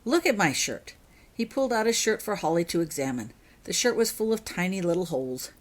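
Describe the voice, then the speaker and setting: A woman's voice: on the low side, lively intonation, quick pace. A woman reading her own fiction aloud as scripted story narration.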